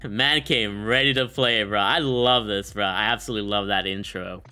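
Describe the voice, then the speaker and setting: A man's voice: on the low side, normal speed, sharp tone, smooth, faint music behind. A young man hosting a gaming video with casual, hyped, slangy commentary. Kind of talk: casual commentary on a game showcase.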